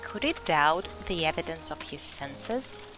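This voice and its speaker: A woman's voice: sits low, animated, a little slow, fairly bright tone, soft background music. A woman acting in an audio drama as an earnest young believer in ghosts, telling her story with dramatic emphasis. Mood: defensive.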